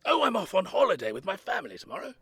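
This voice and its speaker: Husky, animated old ex-army officer. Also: male voice, sits high, full character voice. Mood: keen, grumbling. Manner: brisk, military, emphatic. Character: elderly ex-army man, self-important, brisk, grumbling planner. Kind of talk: audio comedy drama acting.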